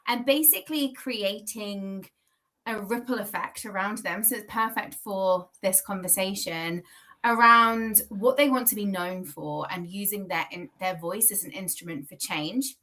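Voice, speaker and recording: A woman chats on a live video call, laughing easily and stopping to fix her own words. Her voice is bright and forward, and her pitch sits in the middle. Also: unhurried. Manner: lively, laughs often, self-correcting. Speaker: guest, founder of a communications agency. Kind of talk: casual podcast conversation.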